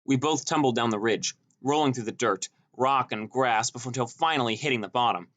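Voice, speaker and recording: A man's voice: medium pitch, brisk, a bit bright. A man reading a scripted first-person story as an audiobook narrator.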